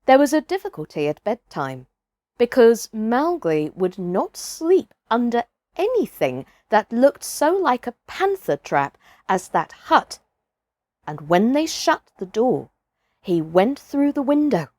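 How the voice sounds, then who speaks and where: Slow, high-voiced woman reading a storybook. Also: very animated, a little mellow, dry recording, studio quality. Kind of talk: expressive scripted storybook narration.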